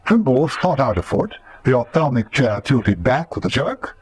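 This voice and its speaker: A man's voice: mid-range voice, sing-song, moderate pace, breathy edge, no echo. A man reading dramatic scripted narration as the narrator of a radio serial.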